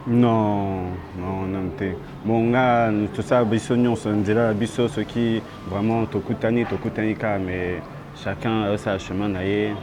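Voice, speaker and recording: A young man from France, a rapper being interviewed outdoors, talking in a halting way and switching between French and Lingala. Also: on the low side, steady tone, a crowd in the background. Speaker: rapper guest. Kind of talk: street interview. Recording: outdoor street noise.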